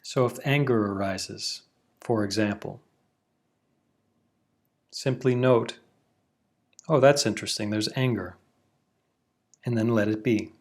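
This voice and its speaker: A man gently leads a guided meditation. His voice sits slightly low, and he speaks slowly and calmly, leaving long pauses between soothing instructions. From Canada.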